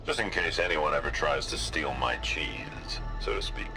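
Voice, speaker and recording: A man's voice: very deep voice, animated, normal speed. A man playing a smug, self-satisfied tech tycoon in a video game audio log, boastful in manner. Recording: loud music behind.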